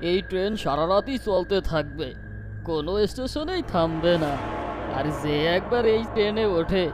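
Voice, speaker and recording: Man voicing a slow, eerie old ghost man. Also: high voice, lively intonation. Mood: menacing. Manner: slow, menacing, ominous drawl. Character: eerie old male ghost haunting night trains. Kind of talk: cartoon ghost story voice acting. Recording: noisy, with train and ambience sounds.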